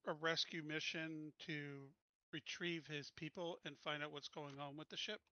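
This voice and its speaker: A man with a very sharp, forward voice on the higher side takes part in a tabletop game as a player. His talk is casual. The audio is dry and slightly dull, like voice chat. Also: fairly even intonation, normal speed, clear, resonant tone, no echo.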